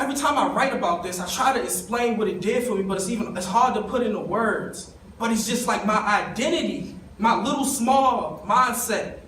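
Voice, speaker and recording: A man's voice: sits high, fairly bright tone, a little hiss or hum. A young man from East gives an emotional, conversational talk about his own life and speaks directly to his audience. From East Baltimore. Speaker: summit speaker. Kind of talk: personal storytelling speech. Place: conference hall. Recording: echoey room, voice from a distance.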